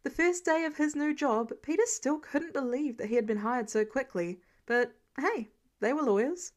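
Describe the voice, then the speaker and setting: High-voiced woman narrating a story. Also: moderate pace. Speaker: fan fiction narrator.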